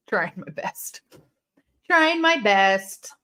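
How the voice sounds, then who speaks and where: A woman from Illinois hosting a live wrestling watch-along, chatting casually and rambling, with intense, sharp S sounds left from a lisp. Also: medium pitch, very animated, extremely slow. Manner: chatty and rambling, heavy use of "like". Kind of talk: casual live-stream chat reading comments.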